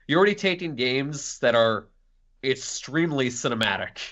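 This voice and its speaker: Male co-host, medium pitch, casual opinion talk, slightly dull audio. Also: expressive, normal speed, fairly bright tone. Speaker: podcast co-host.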